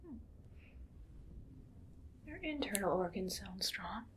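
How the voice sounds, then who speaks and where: A woman's voice: mid-range voice, animated, slow, a bit bright, a little hiss or hum. A woman playing a coldly clinical mad scientist in an ASMR medical roleplay, whispering detached observations as if muttering to herself. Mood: clinical, appraising.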